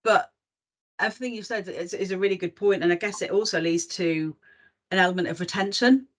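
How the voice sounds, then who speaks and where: A woman's voice: medium pitch, a little fast. A woman leading a roundtable talk in a reflective way, often ending her points with tag questions. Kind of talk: casual panel discussion.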